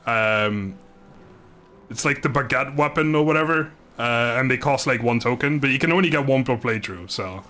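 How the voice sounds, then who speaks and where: A man's voice: mid-range voice, moderate pace, bright, forceful tone, faint music behind. A man casually commentating a video game on a live stream, chatting with viewers in a rambling, good-humoured way.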